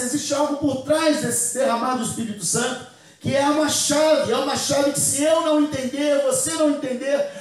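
A high-voiced man preaches into a handheld mic in a bare church hall. The sound rings with heavy echo and comes from some distance off the microphone. He leads call-and-response lines with the congregation. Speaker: pastor. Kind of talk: Pentecost sermon.

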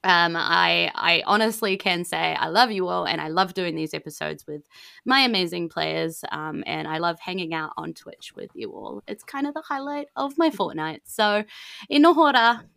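A woman's voice: lowish voice, lively intonation, normal speed, creaky, fairly bright tone, no room sound, clean studio sound. A woman with a New Zealand accent hosting a podcast, giving chatty thanks and announcements in a gushing, appreciative way. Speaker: host and game master of a D&D podcast.

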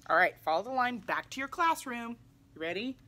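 Animated young woman reading to children. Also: a little high, brisk. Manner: animated, asking questions of young listeners. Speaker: read-aloud storyteller for children. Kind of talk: children's picture book read-aloud. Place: small indoor room, seated in armchair.

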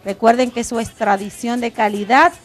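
A woman co-hosting a TV show on a handheld mic, lively and quick to laugh. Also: fairly high pitch. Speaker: TV talk show co-host. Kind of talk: reading ads and casual chat. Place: TV studio set. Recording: TV broadcast with background music.

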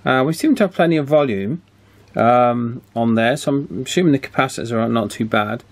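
A man's voice: medium pitch, a little fast. A man giving an informal hands-on demonstration, hesitant and thinking aloud as he works. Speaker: radio repair hobbyist presenting. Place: indoor electronics workbench.

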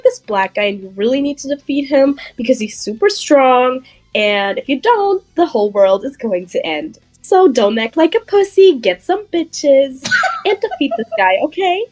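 A woman's voice: high voice, darkish tone. A woman voicing a bossy, indignant young lady of the lake hedgehog in an improvised cartoon fandub, blunt but full of hesitant filler phrasing.

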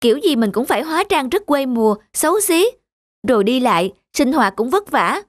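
A woman voicing a vain, ambitious young woman student actress in an animated series, talking in a boastful, dismissive way. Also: sits high, moderate pace, dry recording. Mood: scornful. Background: background music.

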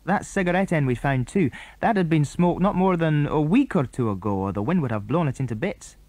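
A man's voice: fairly high pitch, expressive, a little fast, darkish tone, dry recording, pitched above the performer's own voice. A man with a British accent voicing a sensible, cautious older boy in a children's storybook, firm and matter-of-fact.

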